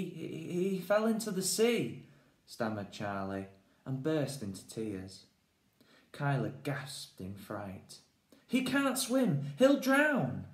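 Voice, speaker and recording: A man in his thirties reading a children's story aloud in an animated style. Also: mid-range voice. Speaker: story reader. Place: indoors in a home room. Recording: echoey room.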